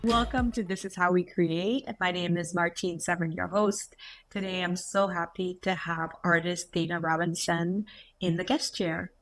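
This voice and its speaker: A woman's voice: fairly low pitch, fairly even intonation, moderate pace, a bit bright, soft background music. Woman hosting an interview podcast. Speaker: podcast host.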